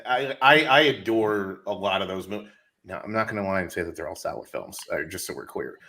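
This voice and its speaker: A man's voice: low-pitched, expressive, brisk, vocal fry, pushed and bright. A man chatting on a panel in a wry, self-deprecating way. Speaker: podcast panelist. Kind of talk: casual panel chat.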